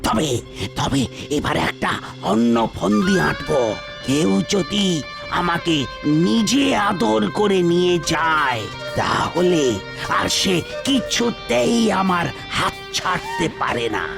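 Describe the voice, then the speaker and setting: Casting: devil for a folk-tale cartoon, sly coward, very gravelly, unhurried, theatrical. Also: male voice, sits high, expressive, exaggerated voice. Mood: scheming. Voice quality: gravelly, creaky. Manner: theatrical, drawn-out scheming and pleading. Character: scheming devil, sly and boastful but cowardly. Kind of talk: animated children's folk tale dub. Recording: music behind the voice.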